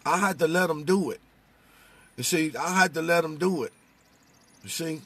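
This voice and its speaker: A middle-aged American man with a raspy voice, giving impassioned, casual testimony about faith in a preacher-like way, inside a vehicle. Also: fairly high pitch, moderate pace. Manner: animated, preacher-like, repeats phrases for emphasis.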